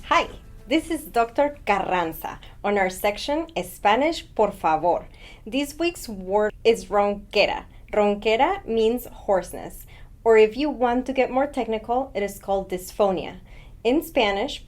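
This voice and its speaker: A woman's voice: fairly high pitch, expressive, measured pace, a little mellow. A woman doctor presenting a short scripted vocabulary segment in a friendly, instructive way.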